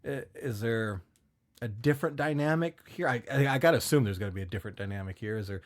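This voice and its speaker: A man's voice: medium pitch, fast talker. Casual man bantering as podcast host. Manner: profane, riffing, informal.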